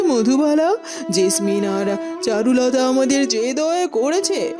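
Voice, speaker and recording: A woman playing a humble, earnest former queen full of repentance in a cartoon melodrama. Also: sits high.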